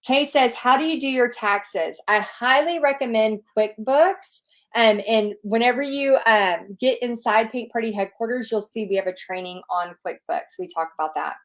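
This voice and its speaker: A woman's voice: on the high side, normal speed. A woman with a Southern US accent, a paint party instructor chatting casually as she answers viewer questions in an upbeat, encouraging way. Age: adult, nearly two decades of experience.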